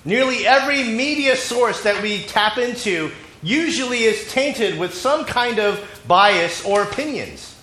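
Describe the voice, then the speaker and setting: A male pastor delivers a sermon in short, measured phrases, stopping often so his interpreter can follow. He is fighting a cold, and his fairly high voice rings through a reverberant church hall with faint room noise behind it. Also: animated, bright, forceful tone. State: has a cold. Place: church sanctuary.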